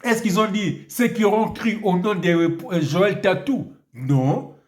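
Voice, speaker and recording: A man preaches in a somewhat high voice and sounds stuffed up from a cold. He delivers his sermon emphatically and keeps asking the listener questions. The room around him echoes. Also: takes it slowly, a little mellow. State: has a cold. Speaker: priest. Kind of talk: video sermon with prayer and Bible reading.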